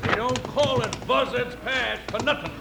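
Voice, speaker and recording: An actor playing a crusty, wary small-town sheriff with an American Western drawl in a radio western. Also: male voice, extremely high pitch, normal speed, vocal fry, noisy background. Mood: grim warning. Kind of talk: old-time radio western acting.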